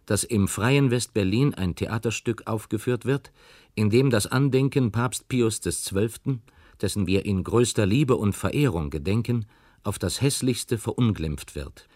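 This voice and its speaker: Man reading a statement, formal, lowish voice, dry room. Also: expressive, measured pace, darkish tone, no room sound.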